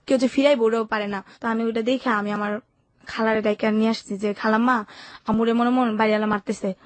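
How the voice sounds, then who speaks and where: A woman's voice: fairly high pitch, dry recording. A young woman with a Bangladeshi Bengali accent, telling her personal life story in colloquial, run-on sentences as an interview guest. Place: radio studio.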